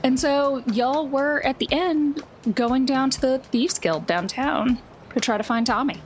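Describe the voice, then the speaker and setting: A woman's voice: high-pitched, unhurried, slight background noise. A woman narrating a tabletop game as its game master in a conversational, folksy way.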